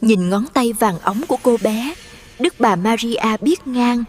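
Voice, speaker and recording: High-pitched woman telling a fairy tale. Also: a little slow. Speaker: storyteller. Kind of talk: scripted children's fairy tale narration.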